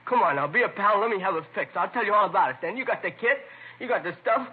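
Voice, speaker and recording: An actor playing a surly, defiant young drug addict in a 1950s radio drama. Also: male voice, sits high, expressive, fast, slightly rough. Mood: desperate pleading. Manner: sullen, insolent. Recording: tinny, band-limited vintage radio recording.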